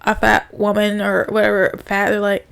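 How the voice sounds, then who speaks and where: A woman co-hosting a podcast, chatting casually, halting and searching for words as she explains. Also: medium pitch, monotone, creaky, a bit bright. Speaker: podcast co-host. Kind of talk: casual chat.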